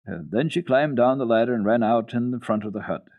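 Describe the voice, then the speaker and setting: A man reading children's literature as a radio storyteller, in an expressive, dramatic style. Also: medium pitch, fairly quick, phone-quality sound. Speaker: storyteller, radio program host.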